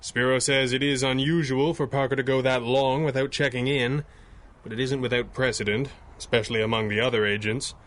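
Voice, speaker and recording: An actor playing a stern, resolute masked crime-fighter with grave, clipped, formal speech in a 1930s radio adventure style. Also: male voice, medium pitch, steady tone, a little fast, a bit bright, close to an everyday voice.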